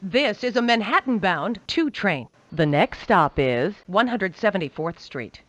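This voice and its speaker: A woman giving recorded train announcements in a measured, formulaic announcer delivery. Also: mid-range voice, sing-song, a bit bright, dry recording. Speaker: transit announcer.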